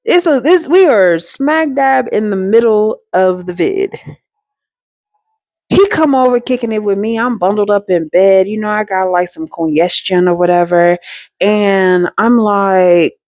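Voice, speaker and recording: A woman hosting her own storytime show, telling a personal story in a chatty, slangy and animated way, full of asides to her viewers. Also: mid-range voice, unhurried, dry recording, clean studio sound. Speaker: storytime host.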